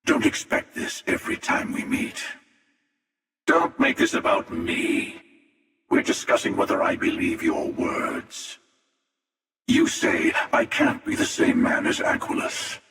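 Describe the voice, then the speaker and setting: An actor playing a cynical, menacing, world-weary interdimensional hunter in a video game, with a gravelly, sardonic delivery. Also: male voice, deep voice, wildly swooping pitch, fairly bright tone, exaggerated voice. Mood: sardonic, cold. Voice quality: gravelly, creaky. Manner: slow, sardonic, taunting. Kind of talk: video game character voice lines. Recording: cavernous reverb, distant-sounding voice.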